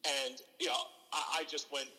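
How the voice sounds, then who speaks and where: Man, middle-aged, sportscaster, very bright tone, echoing reverb. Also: a little high, normal speed. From from New Jersey. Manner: earnest, animated, frequent restarts. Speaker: baseball play-by-play broadcaster, podcast guest. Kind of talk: relaxed interview storytelling.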